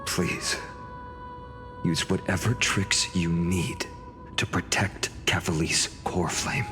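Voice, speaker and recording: A man playing an earnest, courteous young heroic leader in a game, composed and polite. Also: deep voice. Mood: earnest, confident. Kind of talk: scripted game drama acting. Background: music.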